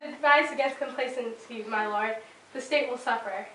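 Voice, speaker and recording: A woman's voice: a little high, moderate pace, bright, forceful tone. A woman playing an urgent, anxious royal messenger in an amateur stage play, earnestly reciting her lines. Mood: urgent, deferential. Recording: distant echoey room, faint background noise.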